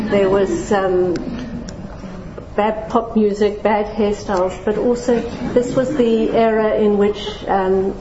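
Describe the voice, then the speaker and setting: A middle-aged woman from Zambia speaks as a scientist to a general audience, her voice mellow and her delivery friendly, with light self-deprecating jokes and frequent ums. The sound is dull and echoey, as in a lecture hall, with faint room noise behind. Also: mid-range voice. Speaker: scientist giving a public lecture.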